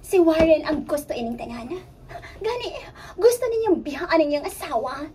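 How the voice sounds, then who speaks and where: A woman acts out a Cebuano radio-drama temptress, obsessed and stubborn. The voice is extremely high and swings widely in pitch. It whines and coaxes theatrically. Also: sing-song, normal speed, dark tone, distant mic, slight background noise. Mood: defiant, jealous. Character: seductive, obsessed, unstable mistress Karen, shrill and stubborn.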